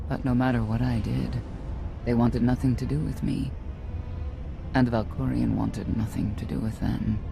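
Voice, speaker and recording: A middle-aged woman playing a sorrowful, weary knight and estranged mother in a game cutscene, speaking in a measured, solemn way. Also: extremely low pitch, vocal fry, exaggerated voice. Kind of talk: dramatic game cutscene acting. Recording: music behind the voice.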